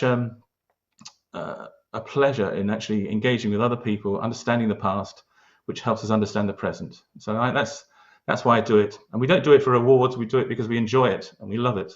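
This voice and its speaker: A man's voice: medium pitch, lo-fi sound. A man with a London accent, talking earnestly about local history in an interview, with frequent restarts and hesitations. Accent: London English. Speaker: local historian, award winner.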